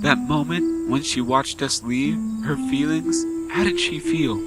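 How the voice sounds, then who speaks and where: A man playing a gentle, earnest teenage boy who speaks reflectively in a radio drama. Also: a little high, measured pace, over loud music, lightly acted. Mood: reflective, worried. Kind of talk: amateur anime-style radio drama acting.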